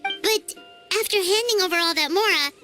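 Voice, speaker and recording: A woman voicing a small, excitable, suspicious fairy companion in a video game, with a squeaky childlike voice. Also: normal speed. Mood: suspicious, plotting. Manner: refers to herself in third person, exclamatory. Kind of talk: animated game character acting. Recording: game music behind the voice.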